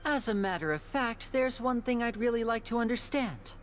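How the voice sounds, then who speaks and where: A woman's voice: a little high, expressive, lightly acted. A woman voicing a suave, shrewd young male magician in a video game, theatrical and teasingly polite. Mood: calm, probing. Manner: smooth, showmanlike, mock-polite, deliberate. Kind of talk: scripted video game voice acting.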